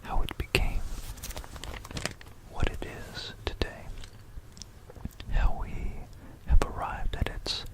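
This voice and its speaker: Slow, whispering ASMR history explainer. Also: rough recording. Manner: whispering throughout, unhurried and explanatory. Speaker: ASMR host. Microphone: close stereo binaural microphone.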